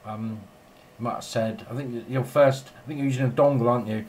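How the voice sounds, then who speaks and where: A man's voice: mid-range voice, fairly even intonation, quick pace, darkish tone, slight background noise. A man chatting casually and in a rambling way as a radio operator filming in his home shack. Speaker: amateur radio operator and video maker.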